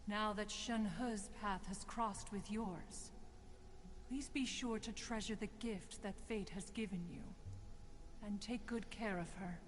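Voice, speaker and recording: A woman's voice: medium pitch, fairly even intonation, measured pace, long reverb tail, a little hiss or hum, close to an everyday voice, low-quality recording. An actress voicing an ancient female crane spirit in a game, speaking in formal, archaic phrasing. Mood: lofty. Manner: formal archaic speech, refers to herself as one. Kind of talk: game character dialogue.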